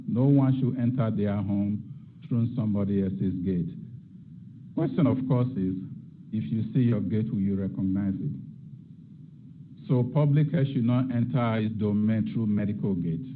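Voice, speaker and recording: Low-voiced man lecturing reflectively. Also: phone-quality sound, slight background noise. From Nigeria. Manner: reflective, asks rhetorical questions. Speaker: guest lecturer. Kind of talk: academic lecture.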